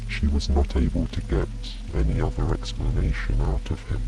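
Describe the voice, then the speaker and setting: A man with an extremely deep, gravelly voice reads a bedtime story. He is slow, soft and soothing, with calm narration over music and rain. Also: poor audio. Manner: gentle, soothing, unhurried. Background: steady rain, soft music.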